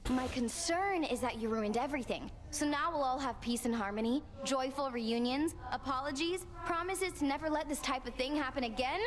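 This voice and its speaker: Game role: woman as bratty troublemaker goddess, very high voice, sarcastic, petulant, with long reverb. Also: normal speed, few pauses, lightly acted. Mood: scornful, petulant. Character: bratty, spiteful goddess of strife, sarcastic and whiny. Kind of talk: video game voice acting.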